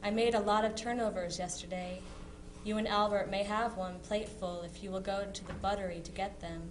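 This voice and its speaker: Through clear hum and noise comes a woman playing a Civil War era sister reading her letter. She is chatty and teasing toward her soldier brother, in an ordinary middle-pitched voice. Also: steady tone, a little fast, close to an everyday voice. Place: live historical society presentation. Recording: noisy live recording with hum.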